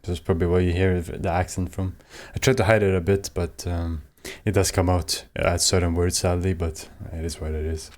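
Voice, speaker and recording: A man from Sweden with a Swedish accent, giving rambling, halting commentary while playing a story game. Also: low-pitched, level tone, darkish tone. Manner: rambling, halting, with many fillers. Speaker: gaming streamer. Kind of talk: casual let's play commentary.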